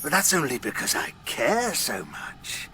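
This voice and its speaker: Conniving old fallen king: needy, melodramatic, sharp and high, slow wheedling pleas for audio drama. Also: wildly swooping pitch, slight background noise, heavily acted. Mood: wheedling, pleading. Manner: pleading, wheedling, theatrical.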